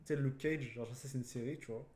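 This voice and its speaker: A man's voice: mid-range voice, fairly even intonation, fast talker, a little mellow. A man co-hosting a podcast, chatting casually in slangy French mixed with English words. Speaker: podcast co-host. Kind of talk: casual film chat among friends. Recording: roomy, echoing room sound.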